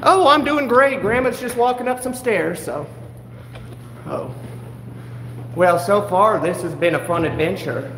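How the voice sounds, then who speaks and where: A man's voice: high voice, unhurried, pauses often, fairly bright tone, rough recording. A man putting on a meddling, syrupy old grandma persona for a livestream, calling everyone darling and speaking of himself as Grandma. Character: meddling sugary old grandma, elderly woman. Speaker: livestreamer filming public buildings. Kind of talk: trolling livestream banter. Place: indoor public building. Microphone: handheld phone camera.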